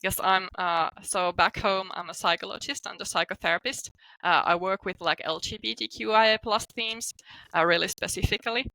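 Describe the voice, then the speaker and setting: A woman's voice: medium pitch, fairly quick, gravelly, sharp tone, no room sound, rough recording. A woman from Finland with a Finnish accent, talking informally as an interview guest and often saying "like". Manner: informal, peppered with "like" as a hedge. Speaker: guest, psychotherapist and coach. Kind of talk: casual interview talk.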